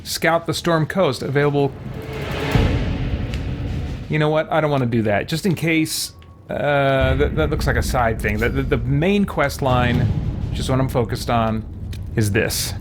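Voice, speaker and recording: A man's voice: mid-range voice, unhurried, long pauses, over music. A man hosting a video game let's play, thinking aloud in casual, conversational commentary. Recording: faint background noise.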